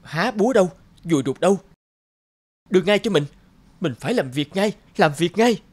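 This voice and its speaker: A whiny, excitable lazy labourer. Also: male voice, sits high, normal speed. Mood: frantic. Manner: exclamatory, plaintive. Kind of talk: animated folk tale dubbing. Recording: loud music behind the voice.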